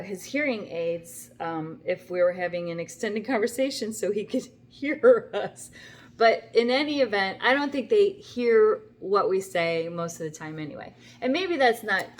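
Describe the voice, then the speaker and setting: An older woman vlogging from her home kitchen, telling a rambling story in a chatty, wry way with plenty of asides. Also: medium pitch. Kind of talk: casual talking-to-camera story.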